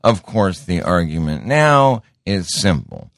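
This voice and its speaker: A middle-aged man with a deep voice hosting a show in a casual monologue, rambling and interrupting himself, often repeating words. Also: big pitch swings, slow, gravelly, a bit bright, no room sound, studio quality. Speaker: show host.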